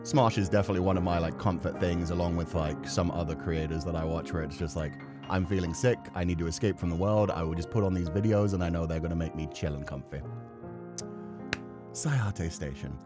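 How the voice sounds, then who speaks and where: A man livestreaming a horror game, chatting casually and excitably and swearing freely. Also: sits low, a little fast, over music. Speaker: game streamer.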